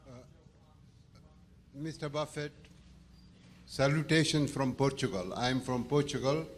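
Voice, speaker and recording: A man asks his question from the floor of a shareholder meeting. His voice sits at a middle pitch, and his phrasing is formal, elaborate and a little halting. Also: slight background noise. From India. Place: large meeting hall.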